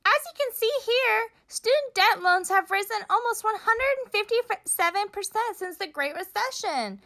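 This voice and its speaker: A woman voicing a concerned, brisk female puppet presenter who hosts a scripted show in an emphatic, declamatory way. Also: very high voice, animated, pushed and bright, full character voice. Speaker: host.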